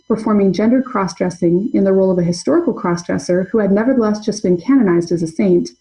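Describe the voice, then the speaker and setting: A young adult woman presenting an academic paper in a measured, scholarly way. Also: mid-range voice, mellow, poor audio. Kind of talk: reading a prepared conference paper.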